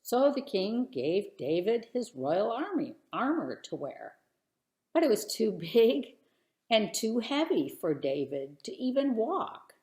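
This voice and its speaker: A woman telling a children's bedtime story in an expressive, theatrical and deliberate way. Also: fairly high pitch, reverberant. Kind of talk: dramatic bedtime story narration.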